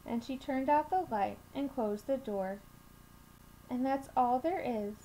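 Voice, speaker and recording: A young woman reading a picture book aloud to children, with measured, deliberate phrasing and expressive emphasis, recorded on a webcam mic in a small room. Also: high-pitched. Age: young adult. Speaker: children's storytime reader at a school. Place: small room at home, indoors. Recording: noisy home webcam recording.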